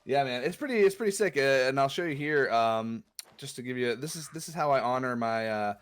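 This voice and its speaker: A relaxed man hosts a livestream interview, his pitch bouncing around a lot. He rambles and keeps calling his guests "dude". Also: brisk, smooth. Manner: laid-back, says "dude" a lot, rambling. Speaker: stream host. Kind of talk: casual stream interview.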